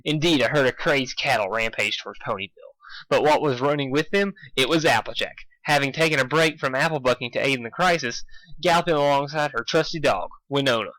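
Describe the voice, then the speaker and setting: A man reading fan fiction aloud in a casual, expressive way, stumbling over lines and poking fun at himself. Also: mid-range voice, a little fast, dry recording, rough recording. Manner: self-deprecating, swearing, stumbles over lines. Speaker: amateur fan fiction reader. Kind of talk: casual intro and expressive read-aloud narration.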